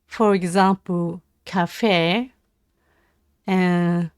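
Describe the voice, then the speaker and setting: A Japanese woman with a Japanese accent, practising language aloud on a casual livestream in a halting, hesitant way. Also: fairly low pitch, fairly even intonation, clear, resonant tone, no room sound, studio quality. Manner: hesitant, halting English with self-corrections. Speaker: live streamer learning English. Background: computer keyboard typing and clicking.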